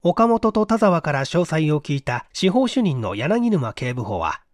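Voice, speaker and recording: A man's voice: a little high, big pitch swings, a little slow, dry recording, studio quality. A man reading a mystery story as dramatic, suspenseful, theatrical audiobook narration. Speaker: audiobook reader.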